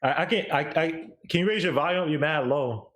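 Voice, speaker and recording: A man's voice: on the high side, brisk, a bit bright. A man giving music opinions in casual chat, hedging and hesitant as he talks. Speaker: music reviewer on a panel.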